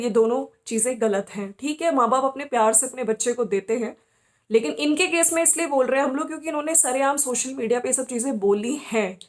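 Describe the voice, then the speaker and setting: A woman hosts a commentary video. She talks conversationally and gives her opinions, often adding small tags to check that listeners follow. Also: high voice. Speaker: YouTube commentary host. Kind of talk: informal opinion commentary on family vloggers.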